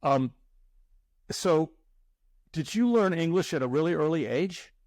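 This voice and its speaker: A man's voice: a little high, lively intonation, unhurried, a little mellow, dry recording. A man hosting a podcast interview, chatty, often repeating words as he starts a thought. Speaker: podcast host.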